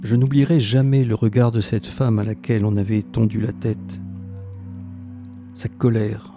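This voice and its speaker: A man playing a former French Resistance fighter who tells his memories in a measured, tender and grave audio drama narration. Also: fairly low pitch, little pitch movement, music in the background, lightly acted. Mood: reflective, grave. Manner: reflective, measured storytelling. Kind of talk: scripted first-person narration in an audio drama.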